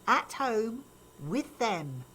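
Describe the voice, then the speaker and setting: A disapproving grandmother in an audio drama, older and set in her ways. Her chiding, gossipy talk swings widely in pitch, over a faint noisy background. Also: sing-song, very slow, full character voice. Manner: chiding, matter-of-fact, gossipy. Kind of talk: naturalistic radio drama acting.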